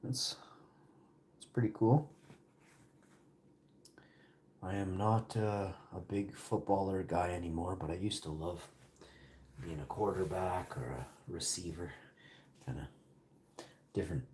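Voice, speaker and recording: Male streamer, deep mellow voice, rambling casual chat with viewers. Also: unhurried, pauses often, a little hiss or hum. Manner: rambling, chatty, answers chat questions between bites. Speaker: live streamer.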